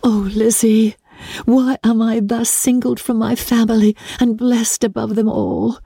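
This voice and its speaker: A woman voicing a gentle, joyful, tender-hearted young Regency gentlewoman in an earnest, effusive way for an audiobook. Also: a little high, steady tone, measured pace, vocal fry, breathy edge, dry recording, studio quality, pitched above the performer's own voice, full character voice. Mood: joyful, breathless.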